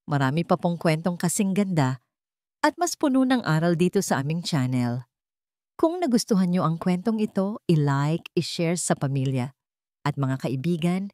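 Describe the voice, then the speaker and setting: A woman's voice: on the low side, a little mellow, dry recording, studio quality. A woman narrating a scripted, sentimental story in an earnest, dramatic manner.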